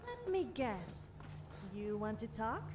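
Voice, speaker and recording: A cheeky young woman companion from a game, chats in a highish voice with lively swings of pitch. Music plays behind her. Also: slow. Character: chatty, cheeky young female travelling companion. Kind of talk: scripted game follower dialogue.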